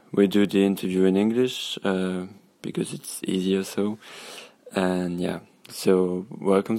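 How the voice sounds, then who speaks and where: A man with a German accent hosts an informal interview in a very low, creaky voice. He talks slowly and hesitantly, feeling for his words as he introduces things. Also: darkish tone, no room sound. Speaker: interviewer, host.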